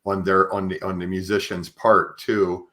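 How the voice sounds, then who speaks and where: A man's voice: low-pitched, little pitch movement, moderate pace, sharp tone. A man hosting a conversational podcast interview, speaking tentatively and rephrasing his questions as he goes. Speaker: podcast host.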